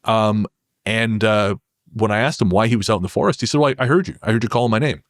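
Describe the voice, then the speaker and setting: A man's voice: on the low side, quick pace, dry recording. A man speaking as a podcast guest, telling personal stories in a casual, reflective and wry way. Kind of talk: casual conversational storytelling.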